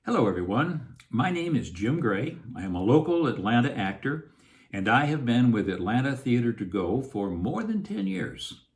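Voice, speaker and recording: A man's voice: mid-range voice, big pitch swings, moderate pace. A man, an actor giving a scripted introduction to a program.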